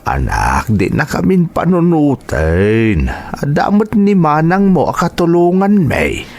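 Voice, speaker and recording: A man playing a gentle, concerned elderly father in an audio drama, with a Filipino accent. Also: on the high side, very animated, takes it slowly, heavily acted. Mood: tender, resigned. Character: gentle, concerned elderly father advising his grown daughter.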